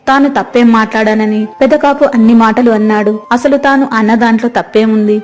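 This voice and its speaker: A woman's voice: fairly high pitch, darkish tone. A woman narrator reading a scripted moral story.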